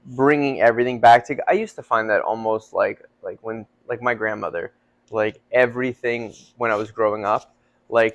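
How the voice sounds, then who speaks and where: A man's voice: medium pitch, highs cut off. A young man co-hosting a faith podcast, talking casually and rambling with lots of fillers. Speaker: podcast co-host. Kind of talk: casual chat.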